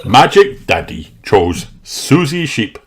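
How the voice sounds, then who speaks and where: A man reading a children's picture book aloud in a slow, expressive storytelling way. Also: medium pitch, background noise. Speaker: storybook narrator. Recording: narration over background music. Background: background music.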